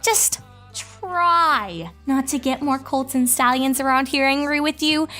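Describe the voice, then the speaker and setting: A woman's voice: sits high, unhurried, bright, forceful tone, soft background music. A woman voicing a bookish, exasperated young unicorn pony in an audio drama, prim and scolding.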